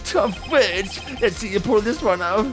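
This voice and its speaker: Guy doing a sneering witness from a courtroom game, loud, whiny indignant, swooping pitch. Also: expressive, unhurried, over music. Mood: taunting. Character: blustering, indignant male witness, sneering villain. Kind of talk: comic amateur video game dramatic reading.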